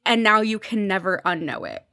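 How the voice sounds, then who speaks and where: A woman's voice: medium pitch, sing-song, moderate pace, bright, forceful tone, no echo, studio quality. A woman chatting casually as a podcast guest, enthusiastic and droll. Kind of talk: casual chat.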